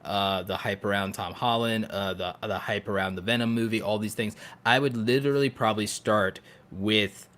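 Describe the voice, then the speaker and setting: A man with a fairly low voice chats casually as a podcast co-host. He explains his ideas with enthusiasm, working through them aloud point by point. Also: fairly even intonation, moderate pace, hardly any pauses, fairly bright tone. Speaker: podcast co-host and content creator. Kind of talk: casual podcast chat, giving advice.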